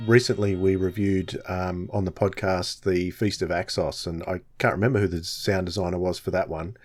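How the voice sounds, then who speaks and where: Man, low-pitched voice, podcast host, casual conversation. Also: flat delivery, normal speed, darkish tone, faint music behind.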